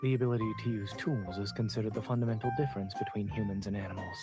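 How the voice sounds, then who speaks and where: An actor playing a calm, learned doctor in a romance game, speaking in a measured, dry, factual way. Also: male voice, fairly low pitch, close to an everyday voice. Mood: calm, matter-of-fact. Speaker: character in a mobile romance game. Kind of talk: scripted game voice acting. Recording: game audio under music.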